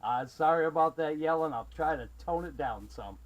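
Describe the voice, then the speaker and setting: High-voiced excitable man on CB radio. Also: moderate pace. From Massachusetts, USA. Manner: excitable, friendly, prone to raising his voice. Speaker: CB radio operator running the channel from South Carolina. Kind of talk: amateur radio contact chat with callsigns and sign-offs.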